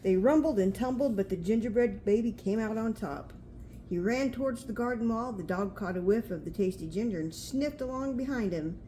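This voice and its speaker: Older grandma type reading storytime to a kid, mellow voice, homely n chatty, little bit of background noise. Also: female voice, a little high, normal speed, poor audio. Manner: homely, chatty, pointing things out. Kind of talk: storybook read aloud with asides to a child.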